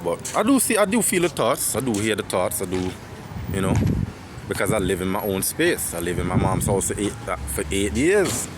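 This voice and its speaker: A man in his twenties with an Antiguan accent and a deep voice, rambling and reflective in a casual personal monologue, often repeating himself and swearing. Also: big pitch swings, moderate pace, over music. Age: 20s. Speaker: vlogger. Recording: loud outdoor street noise.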